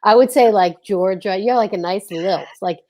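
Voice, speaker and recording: A woman hosting a live online show in an excited, chatty way, gushing and exclaiming as she goes. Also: mid-range voice, normal speed. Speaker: host of a live radio-play show.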